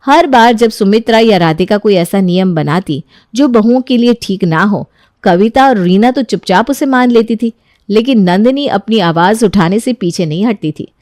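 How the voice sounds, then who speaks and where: A woman narrating a moral cartoon story in an even storytelling manner. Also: medium pitch, moderate pace, dry recording, studio quality.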